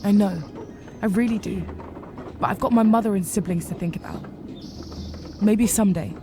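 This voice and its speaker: A woman's voice: mid-range voice, moderate pace, background noise, lightly acted. A woman playing an earnest, conflicted young rabbit healer's apprentice in a fantasy video game. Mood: troubled, reluctant. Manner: earnest, troubled, guarded. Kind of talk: dramatic video game dialogue.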